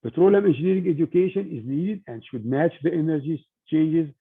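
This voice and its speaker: Older guy from egypt lecturing students, raspy gravelly voice, lots of uh and restarts, tinny line. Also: on the high side. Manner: halting, many restarts and fillers. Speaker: guest speaker.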